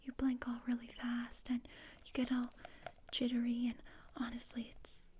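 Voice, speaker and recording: A woman playing a morbid, bossy gothic teenage girl in a breathy, deadpan ASMR roleplay whisper, close to the microphone. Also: on the high side, normal speed, lightly acted. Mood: condescending. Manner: deadpan, dry, matter-of-fact. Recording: close-up with clear noise and clicks.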